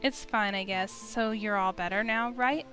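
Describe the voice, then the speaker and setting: A woman's voice: mid-range voice, normal speed, pushed and bright, soft background music. A woman streamer reading a visual novel aloud, telling the story expressively in the first person. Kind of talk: expressive story read-aloud of game text.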